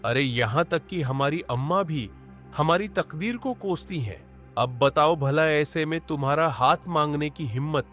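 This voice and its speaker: A man's voice: fairly high pitch, measured pace, a bit bright, faint music behind. A man voicing a poor, hardworking young village man who speaks in rustic village speech and exclaims often, in a cartoon story. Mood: worried.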